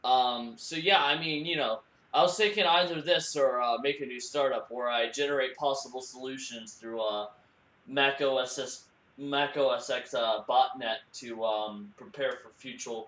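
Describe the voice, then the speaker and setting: A man making a prank call in a halting, awkward, deadpan and naive-sounding conversational manner. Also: mid-range voice. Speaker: prank caller. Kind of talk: casual improvised phone conversation. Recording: roomy echo with faint background noise.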